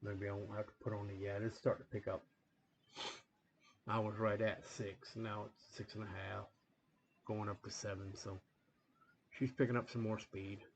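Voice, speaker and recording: A man hosting a train-game playthrough in a rambling, relaxed way, full of fillers, a little sniffly from a coming cold. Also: on the low side, moderate pace, gravelly, pauses often, a little hiss or hum, poor audio. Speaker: gaming channel host. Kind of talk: casual gameplay commentary.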